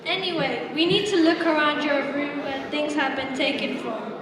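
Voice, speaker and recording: Commanding female police officer, played by an amateur actress, high voice, stage play, far from the mic in a ringing hall. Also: moderate pace, a crowd in the background, lightly acted. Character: brisk, commanding woman police officer in charge. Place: large echoey indoor hall, far from the mic. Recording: distant, very echoey hall with audience noise.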